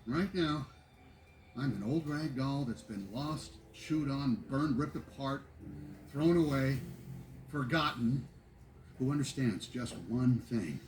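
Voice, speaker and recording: An actor voicing an earnest, loyal cowboy doll who speaks in a pleading, heartfelt way. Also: male voice, mid-range voice, takes it slowly, slight background noise, natural voice, rough recording.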